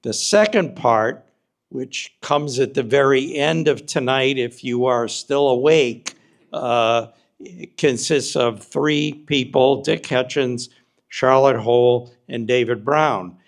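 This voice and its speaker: A man introduces the evening's speakers slowly, pausing on fillers as he goes. His voice has a gravelly edge. He speaks at a mid pitch. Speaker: program host. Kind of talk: introducing a program.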